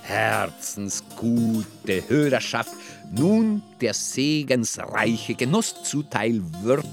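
A man's voice: mid-range voice, expressive, vocal fry, soft background music, full character voice. An actor as a wry author addressing his audience in ornate, formal literary narration for a radio drama. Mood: ornate, amused. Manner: measured, formal.

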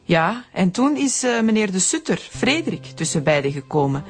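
An actress playing a frank, smitten young Flemish woman being questioned in a radio crime drama, chatty and expressive. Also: medium pitch, moderate pace, faint music behind, lightly acted. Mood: animated recounting.

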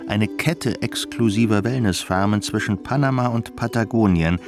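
A man's voice: fairly low pitch, normal speed, close to an everyday voice. A man narrating a scripted radio play as a wry, literary storyteller. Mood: wry narration. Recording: music behind the voice.

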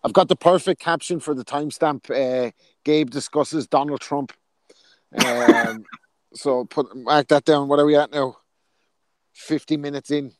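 A man's voice: a little high, steady tone, unhurried, no echo. Joking man on a podcast. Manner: joking, laughs at his own quips. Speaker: podcast co-host. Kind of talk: casual podcast chat about boxing.

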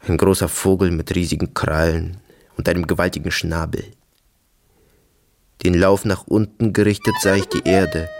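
An actor playing a brooding, detached man in an audio drama monologue, speaking in terse fragments with sudden emphatic bursts. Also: sits low. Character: brooding, detached male narrator recounting violence and family.

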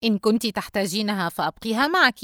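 A woman's voice: on the low side, fast, dry recording, natural voice. A sly, indifferent teenage brother, acted by a female narrator in an audiobook story. He speaks with cold sarcasm and a taunting, careless edge. The recording is dry. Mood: mocking. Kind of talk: dramatised audiobook dialogue.

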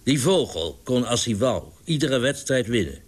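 A male narrator puts on a big, innocent storybook pigeon fancier. His voice lifts well above a narrator's pitch as he exclaims, coaxes and pleads. He is doting and plaintive, quick to stammer with indignation. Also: sing-song, slightly rough. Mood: wounded pride. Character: tall boisterous Irish pigeon owner, innocent, plaintive and doting.

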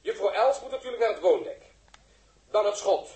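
An actor playing a fussy, bossy quiz host in a 1960s radio drama, formal and officious. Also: male voice, high-pitched, animated, normal speed, darkish tone. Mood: officious, insistent. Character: fussy, bossy male TV quiz host directing a staged scene. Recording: roomy old radio recording.

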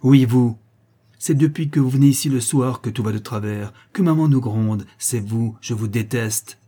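A man's voice: medium pitch, a little fast, warm tone, husky, natural voice. A man voicing a proud, stubborn little aristocratic boy in a storybook, with a haughty, vehement delivery. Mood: angry, accusing.

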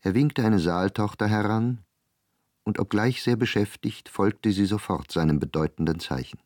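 Casting: male literary narrator, lowish and composed, dry studio sound, measured radio-drama storytelling. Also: a little mellow, no echo.

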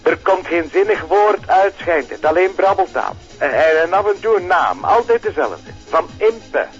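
An actor playing an inquisitive, discreet Flemish police colleague, colloquial and gently insistent, in naturalistic radio drama. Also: male voice, sits high, lively intonation, moderate pace. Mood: intrigued, discreet. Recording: dull radio drama with faint music.

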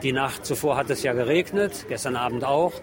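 A man's voice: medium pitch, brisk, a crowd in the background. A man presenting radio reportage as host and street reporter, switching between German and Spanish.

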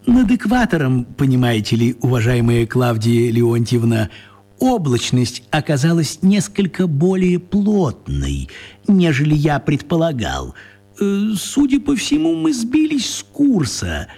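An actor playing a tiny, apologetic old wizard gentleman in a children's radio play, husky and fussy, courteous and repeating himself. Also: on the high side, huge pitch swings, unhurried, exaggerated voice. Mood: embarrassed explaining.

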